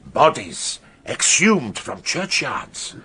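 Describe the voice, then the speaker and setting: An actor playing an imperious, pompous professor in a radio drama, with a British accent and a declamatory delivery that relishes the macabre. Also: male voice, medium pitch, big pitch swings, extremely slow, sharp tone, a little hiss or hum, exaggerated voice. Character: eminent male professor, pompous, imperious, theatrical lecturer.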